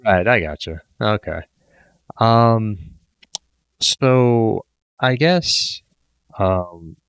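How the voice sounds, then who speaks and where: A man hosting a podcast, asking rambling, filler-heavy questions in a relaxed chat. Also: sits low, clean tone, no room sound. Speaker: podcast host. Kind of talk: casual interview chat.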